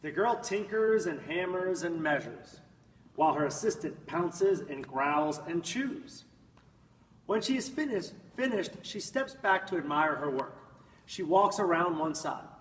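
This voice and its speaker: A man reading a children's picture book aloud in an expressive, dramatic manner, drawing out words and swelling with emphasis. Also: fairly high pitch, unhurried. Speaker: storybook reader. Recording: echoey room, distant mic, faint noise.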